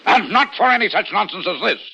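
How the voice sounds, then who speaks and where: An actor playing a pompous, scheming and domineering rich uncle with blustering, emphatic delivery in vintage radio style. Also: male voice, sits high, very animated, brisk, gravelly, sharp tone, breathy edge, heavily acted. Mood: stern, indignant. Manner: blustering, emphatic, repeats himself for effect. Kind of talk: 1940s-50s American radio acting. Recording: muffled vintage radio recording.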